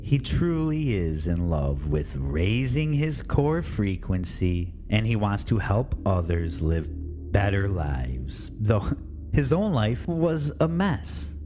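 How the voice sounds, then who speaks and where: A man's voice: lowish voice, sing-song, takes it slowly, over music. A man playing a mocking, chatty inner-self narrator in an audio horror story, confiding and sardonic. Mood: wry, mocking.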